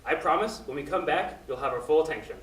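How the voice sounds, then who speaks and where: A man playing a calm, polished radio announcer keeping things steady in a student radio play. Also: fairly high pitch, fast talker, far from the mic. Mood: calm, placating. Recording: roomy stage recording, off mic.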